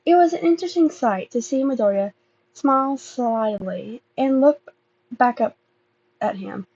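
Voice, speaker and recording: A woman reading fan fiction aloud as an amateur narrator, halting and often stumbling over and repeating words. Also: a little high, lively intonation, a little mellow, dull audio. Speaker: amateur podfic reader.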